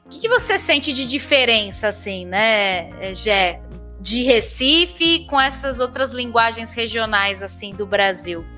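Female interview host, Brazilian accent, slightly high bright voice, effusive and informal, music behind. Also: animated, a little slow. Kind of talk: casual friendly interview chat.

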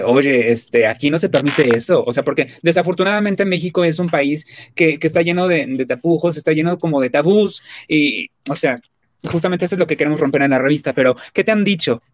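Mexican guy interviewing someone, slightly high voice, takes the long way to each question. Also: lively intonation, no echo. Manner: halting, roundabout questions. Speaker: magazine interviewer. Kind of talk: casual interview.

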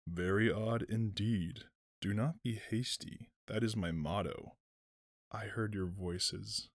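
A man voicing an ancient, slow-spoken male tree giant with a deep, humming voice. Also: dry recording, clean studio sound. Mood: slow ponderous. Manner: slow, ponderous, murmuring.

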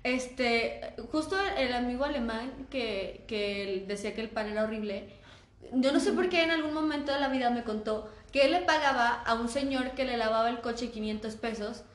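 A woman's voice: fairly high pitch, normal speed, few pauses, echoey room. A Mexican woman with a Mexican accent, telling an anecdote in a giggly, slangy way in a casual chat. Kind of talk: casual conversation, storytelling.

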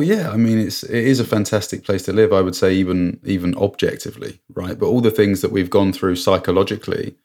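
A man with a very low voice co-hosting a casual podcast from a remote call. He talks earnestly and reflectively. He sometimes jumps up high in pitch when something surprises him. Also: darkish tone. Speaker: podcast co-host. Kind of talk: casual chat.

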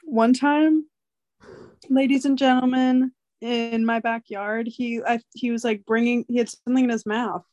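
Young woman, slightly nasal, high voice, dry close sound; rambling, animated casual storyteller. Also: measured pace, dry recording. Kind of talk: casual chat.

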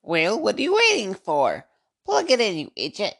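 A woman's voice: medium pitch, huge pitch swings, moderate pace, creaky, fairly bright tone, heavily acted. A woman voicing a grumpy, impatient elderly sister who snaps at her siblings and calls them names in an amateur radio drama. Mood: cranky. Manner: snappish, bossy, name-calling. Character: grumpy eighty-something sister, rude and impatient.

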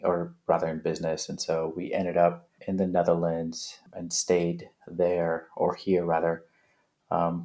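A man's voice: deep voice, a little mellow. A man in his late thirties from Afghanistan, a podcast guest talking casually with many restarts and fillers. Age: late 30s. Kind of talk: casual interview chat.

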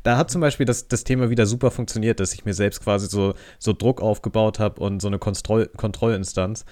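A man chatting casually on a podcast, informal and often halting to rephrase himself. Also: lowish voice, steady tone, a little mellow. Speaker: podcast co-host.

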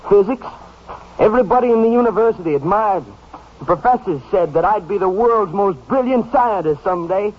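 An actor playing an unhinged, bitter young student killer, agitated and self-pitying, in a 1950s radio drama. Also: male voice, high voice, expressive, brisk. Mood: boastful. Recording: noisy, dull old radio recording.

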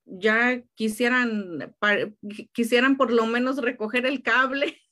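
A woman's voice: on the high side, a bit bright. A woman in a casual conversation, speaking emphatically and restarting her phrases often.

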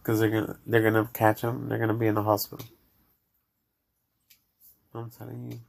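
Mexican-accented male host, casual rambler, low gravelly voice, chats straight to his listeners. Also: monotone, normal speed. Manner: rambling, addresses listeners directly. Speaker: podcast host. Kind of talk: casual chat.

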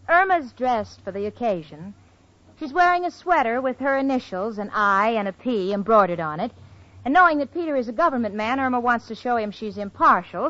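An actress playing a sensible, wry roommate who narrates an old radio comedy with poised delivery. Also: female voice, a little high, pushed and bright. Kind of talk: 1940s-50s radio comedy narration and acting. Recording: muffled old radio recording, faint noise.

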